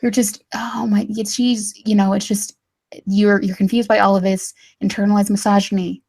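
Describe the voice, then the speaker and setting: A woman's voice: mid-range voice, level tone, moderate pace, vocal fry, a little mellow, slightly rough. Young woman, from texas, casual guest chat, hesitant, dry sarcasm. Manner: hesitant, many fillers and restarts, dry sarcasm.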